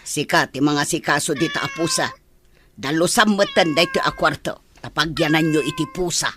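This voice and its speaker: An actress playing a bossy, grumbling senior housemaid in a radio drama, with a sharp-tongued, impatient manner. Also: female voice, sits low, sing-song, moderate pace, noisy background. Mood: irritated, bossy.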